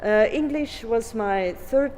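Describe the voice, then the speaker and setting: A woman with a Flemish accent gives a conference talk, speaking haltingly with many fillers and restarts. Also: on the high side, a little mellow, slight background noise. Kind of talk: conference presentation. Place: conference hall. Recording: long reverb in a conference hall.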